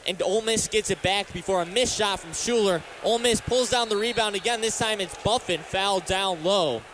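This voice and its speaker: High-voiced young American sports announcer man. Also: brisk, fairly bright tone, a little hiss or hum. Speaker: sports play-by-play announcer and reporter. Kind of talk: live radio sports commentary.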